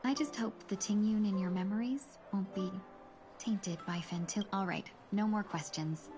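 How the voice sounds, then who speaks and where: An actress voices an elegant young fox-woman merchant for a game. Her mid-pitched voice is courteous and measured, with a sly, teasing edge. Clear music plays behind her. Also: natural voice. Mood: gracious, earnest. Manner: formal, courteous, measured, gently playful. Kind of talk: scripted game dialogue.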